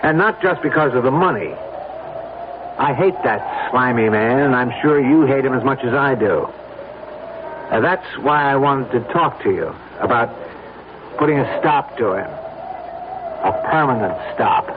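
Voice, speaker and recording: An actor playing a determined, bitter stranger in an old-time radio drama. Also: male voice, mid-range voice, animated, a little slow, long pauses, natural voice. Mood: grim resolve. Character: determined, bitter male stranger plotting murder. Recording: tinny, noisy band-limited radio recording.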